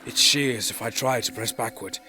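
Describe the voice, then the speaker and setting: A man playing a wary, earnest male Eldar warrior companion in a sci-fi audio drama, speaking gravely. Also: medium pitch, normal speed, bright, forceful tone. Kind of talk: scripted audio drama acting. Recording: music under the voice. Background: music.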